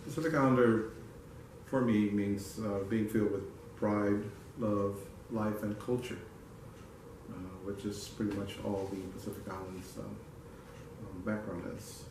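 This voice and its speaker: Easygoing guam guy, middle-aged, lowish voice, super echoey room. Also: steady tone, measured pace, noisy background. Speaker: retired military community leader. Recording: very echoey room, distant mic.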